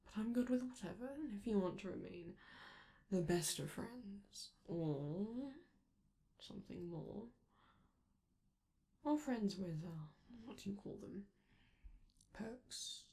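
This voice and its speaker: A woman voicing a flamboyant, affectionate robot entertainer in an intimate ASMR roleplay, softly and hesitantly, slipping toward whispers with theatrical endearments. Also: mid-range voice, animated, slow pace, vocal fry, long pauses. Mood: tender, earnest.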